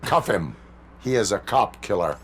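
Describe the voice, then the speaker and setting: Deep, deadpan cyborg cop. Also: male voice, moderate pace, a little hiss or hum. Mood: stern, official. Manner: formal, deadpan, clipped delivery. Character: stoic cyborg police officer, adult male, rigid and dutiful. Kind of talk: video game voice acting.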